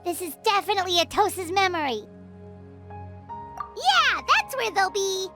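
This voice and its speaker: A tiny fairy sidekick from a video game, with an extremely high, squeaky child's voice. The delivery is eager and chatty, swinging up and down with excitement, over clear background music. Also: female voice, measured pace, exaggerated voice. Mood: excited deduction. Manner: exclamatory, eager. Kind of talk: video game cutscene dialogue.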